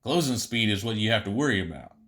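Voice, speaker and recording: A man voicing a gruff, stubborn veteran alien pilot who coaches in short blunt questions in an audiobook story. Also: on the low side, animated, fast, fairly bright tone. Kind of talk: audiobook character voice.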